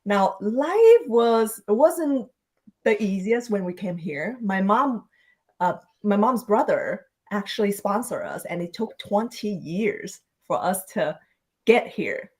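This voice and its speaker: A woman's voice: medium pitch, expressive, slow pace, husky. Reflective woman from Vietnam. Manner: warm, reflective, laughs easily. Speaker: podcast guest. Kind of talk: casual storytelling interview.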